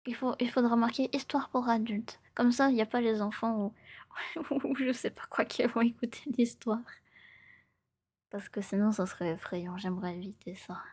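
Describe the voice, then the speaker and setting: A woman in her twenties chatting casually and playfully as a live streamer, often in a soft, breathy ASMR whisper and repeating her phrases. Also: on the high side, fairly even intonation, moderate pace. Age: 20s.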